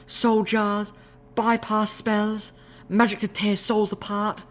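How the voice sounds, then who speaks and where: A woman's voice: medium pitch, little pitch movement, takes it slowly, voice pushed up, lightly acted. A woman voicing a graceful, refined unicorn mare who speaks in a prim, ladylike way. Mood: confiding. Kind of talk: audiobook reading of fan fiction.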